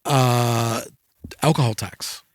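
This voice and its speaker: Male Australian co-host for casual podcast chat, average-pitched voice, no room sound. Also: fairly even intonation, takes it slowly, dry recording, clean studio sound.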